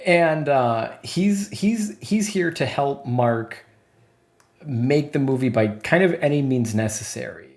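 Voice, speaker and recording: A man's voice: mid-range voice, slow pace. Chatty man with a Wisconsin accent. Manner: chatty, animated, swears casually. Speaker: podcast host. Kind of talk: casual chat.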